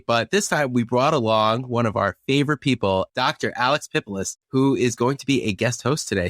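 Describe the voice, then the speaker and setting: A man co-hosting a medical podcast, reading the show notices and welcoming a guest in a brisk, enthusiastic way. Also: mid-range voice, fairly bright tone, no echo, clean studio sound. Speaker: podcast co-host.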